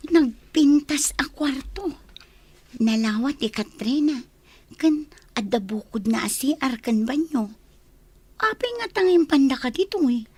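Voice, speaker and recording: A woman's voice: high voice, lively intonation, measured pace, pauses often, a little mellow. A woman playing a chatty, curious young housemaid in a radio drama.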